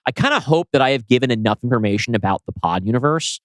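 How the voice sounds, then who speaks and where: A man's voice: mid-range voice, expressive, quick pace, no room sound. A man talking casually as a podcast co-host, discussing writing in a conversational way. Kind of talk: casual chat about scriptwriting.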